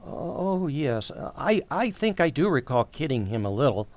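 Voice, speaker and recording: Mild-mannered radio sitcom husband played by a man, polite and hesitant, very lively intonation, close dry sound. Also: on the high side, normal speed, no room sound. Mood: sheepish, evasive. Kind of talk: old-time radio sitcom acting.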